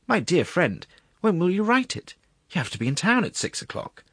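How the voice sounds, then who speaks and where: A man's voice: fairly low pitch, normal speed, husky, no echo, studio quality. A man voicing a wheedling, gluttonous court secretary in a storybook reading, savouring his words in a confiding, coaxing way. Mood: dismayed, pleading.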